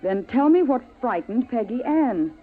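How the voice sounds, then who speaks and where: Fretful, high-voiced suburban mother. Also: very animated, measured pace. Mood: stern. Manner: fussing, chatty, exasperated with her child. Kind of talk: old-time radio drama acting. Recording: narrow, tinny vintage radio recording.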